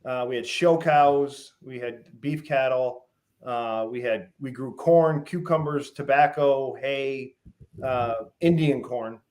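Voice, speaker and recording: A middle-aged man from Western Massachusetts, a guest on an interview show, telling stories in a relaxed way with many pauses and lists. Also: medium pitch. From Western Massachusetts, USA. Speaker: guest, fish farm founder. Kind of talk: casual interview.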